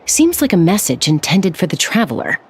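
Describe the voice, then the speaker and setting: A woman voicing a practical young adventurer who asks calm, steady questions. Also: fairly low pitch, a little fast, a little hiss or hum.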